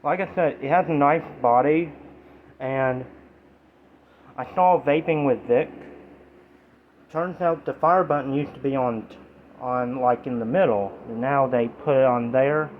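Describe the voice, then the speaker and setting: A man in his twenties with a nasal voice, chatting casually and haltingly as he gives an informal review in a vlog. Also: medium pitch. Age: 20s. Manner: halting, rambling delivery in short fragments. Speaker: YouTube vlogger reviewing products. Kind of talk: casual chat to camera, informal product review. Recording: tinny phone-like audio with background noise.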